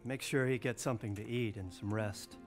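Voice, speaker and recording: An actor playing a grave, authoritative medieval lord in a video game cutscene, speaking in a measured, commanding way. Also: male voice, on the low side, fairly even intonation, music in the background, close to an everyday voice.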